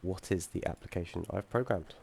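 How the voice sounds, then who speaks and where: A young man with a croaky voice from feeling unwell, explaining his game project and code with frequent ums and restarts and the odd dry aside. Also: sits low, brisk, darkish tone. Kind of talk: screen-recorded code walkthrough.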